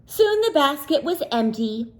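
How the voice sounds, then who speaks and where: Slow, lively-voiced woman reading to children. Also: high-pitched. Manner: playful, gently questioning, sing-song; slow and deliberate, pausing for answers. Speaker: teacher reading aloud. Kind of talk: children's read-aloud with questions.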